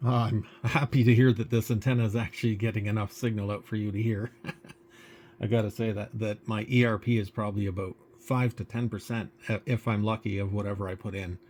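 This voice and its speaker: A man's voice: on the low side, fairly even intonation, fairly quick, darkish tone. A man making casual conversation as an amateur radio operator.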